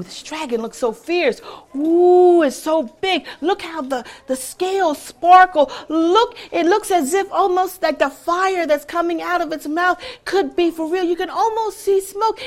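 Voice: very high-pitched, unhurried, few pauses, voice pushed up. A woman voicing amazed townspeople admiring a painted dragon in a told story. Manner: marveling, breathy.

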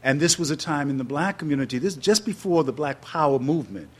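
A man in his sixties from New York City, telling stories as an interview guest before an audience, animated and laughing often, with slower reflective passages. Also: fairly high pitch. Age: 60s.

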